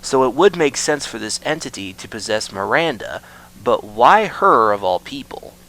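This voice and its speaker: Male video essay narrator, average pitch, scripted storytelling delivery. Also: big pitch swings, normal speed, a bit bright, a little hiss or hum, poor audio. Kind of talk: scripted explainer narration of a horror series.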